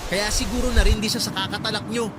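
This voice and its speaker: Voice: mid-range voice, fairly even intonation, fast, heavy background noise, close to an everyday voice. Whiny, sulky young Filipino boy, acted out. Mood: resentful. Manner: whiny, argumentative. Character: sulky, complaining young boy, market vendor's son. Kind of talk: Tagalog radio drama acting. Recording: loud music under the voice.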